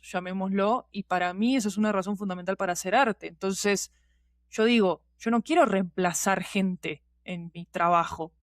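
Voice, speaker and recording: A woman's voice: mid-range voice, dry recording. Rioplatense woman chatting, close dry sound. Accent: Rioplatense Spanish. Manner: informal, slangy, with frequent conversational tags. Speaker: podcast guest, audio fiction producer. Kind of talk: casual, opinionated conversation.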